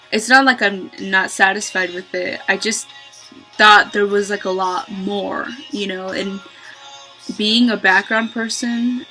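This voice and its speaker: A young woman talking to her webcam in a small bedroom, thinking aloud with many pauses and ums. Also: creaky, pushed and bright, poor audio. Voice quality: low-voiced for a woman, by her own account. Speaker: vlogger. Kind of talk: reflective casual monologue. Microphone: webcam at close range.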